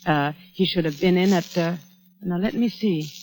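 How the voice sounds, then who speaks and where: An actress playing an anxious, evasive married woman in 1940s radio drama style, speaking haltingly and soothingly. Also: on the low side, darkish tone, lightly acted, low-quality recording. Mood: nervous, placating. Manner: halting, placating.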